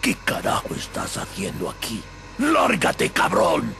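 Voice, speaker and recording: An actor playing a gruff, menacing male villager from the countryside in a video game cutscene. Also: a little high, wildly swooping pitch, normal speed, pushed and bright, a little hiss or hum, exaggerated voice. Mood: angry. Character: hostile, gruff rural villager man.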